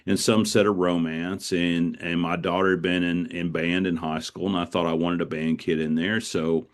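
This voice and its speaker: Very deep-voiced man in an interview. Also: level tone, normal speed. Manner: reflective, anecdotal, often repeats words as he goes. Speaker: author guest. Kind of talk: conversational interview answers.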